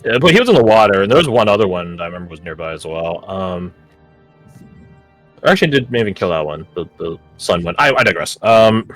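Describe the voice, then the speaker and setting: A man's voice: low voice, big pitch swings, fairly quick, soft background music. A man with a New York accent chatting casually and informally as a player in a tabletop game. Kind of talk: casual group game chat.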